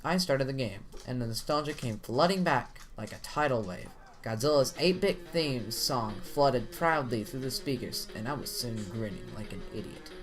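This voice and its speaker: A man reads a horror tale aloud in a theatrical storytelling style. His mid-pitched voice rises and falls in lively, sweeping intonation, occasionally tripping on a word. Soft music plays behind him. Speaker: narrator of a horror story channel. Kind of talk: dramatic reading of a first-person creepypasta. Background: music under the voice.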